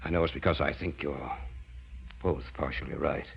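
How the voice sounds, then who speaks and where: A man's voice: very deep voice, fairly even intonation, moderate pace, gravelly. An actor playing an obsessed, stubborn scientist father in an old-time radio horror drama, with a theatrical, emphatic delivery. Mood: grave, remorseful. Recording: dull, hissy vintage radio recording.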